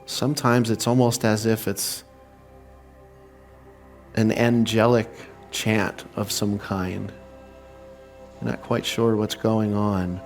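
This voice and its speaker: A man guiding a meditation in slow, soothing, measured phrases with long pauses. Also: on the low side, a little mellow. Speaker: meditation leader and spiritual speaker.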